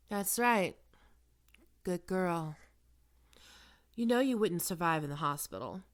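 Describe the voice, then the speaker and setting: A woman playing a probing, smoothly manipulative psychiatrist in an ASMR roleplay, speaking in measured, deliberate phrases. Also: fairly low pitch. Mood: coercive, menacing. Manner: measured clinical questioning with deliberate pauses. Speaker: voice actor performing a roleplay.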